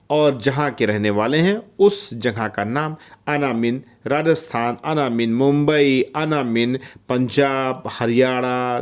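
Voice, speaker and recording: Slow-spoken man teaching Arabic. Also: medium pitch, a little mellow. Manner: repeats each phrase slowly in Hindi, English and Arabic; often checks in with "ठीक है?". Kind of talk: spoken Arabic lesson for Indian workers in the Gulf.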